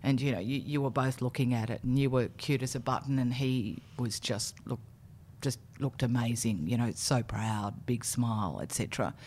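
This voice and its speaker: A woman's voice: rumbling low, moderate pace, few pauses, darkish tone. A woman with an Australian accent, hosting an interview podcast with introductions and casual chat.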